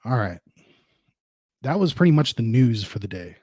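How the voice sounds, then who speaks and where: A man's voice: mid-range voice, sing-song, moderate pace, darkish tone, husky, no echo, studio quality. A man hosting a podcast solo, rambling casually and informally with plenty of swearing. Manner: profane, informal. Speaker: podcast host.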